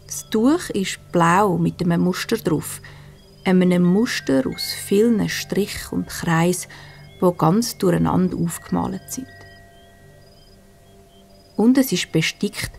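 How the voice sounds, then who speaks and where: Bedtime storyteller: woman, Swiss German accent, hushed and soothing, unhurried pacing, creaky edges. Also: fairly low pitch, soft background music. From Switzerland. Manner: soft, soothing, breathy hushed delivery with gentle pauses. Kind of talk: scripted bedtime story narration for children.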